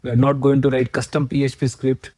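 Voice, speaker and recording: A man in his forties with an Indian accent talks with a mellow, mid-pitched voice. He sounds earnest and unhurried and builds his ideas patiently through examples. The sound is close and dry, with no room echo. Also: little pitch movement. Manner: earnest and explanatory, building points step by step with examples. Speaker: agency founder, podcast guest. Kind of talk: podcast interview.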